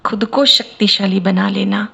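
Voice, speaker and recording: A woman from India leading a guided meditation as a spiritual teacher, speaking in measured, deliberate short phrases with pauses. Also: mid-range voice, creaky, a bit bright, breathy edge, muffled recording. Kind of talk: slow guided meditation discourse.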